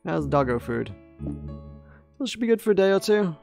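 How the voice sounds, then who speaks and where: A man's voice: high voice, big pitch swings, measured pace, vocal fry, darkish tone, soft background music. A young man chatting casually while streaming a game, rambling with lots of asides and fillers. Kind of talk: casual gameplay commentary.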